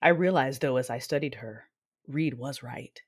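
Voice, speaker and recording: A woman's voice: very deep voice, moderate pace, husky. An actress playing a vengeful, anguished Sith warrior, narrating her own tale in an intense, brooding, theatrical manner for an audio drama. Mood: bitter. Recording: loud music behind the voice. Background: loud dramatic music.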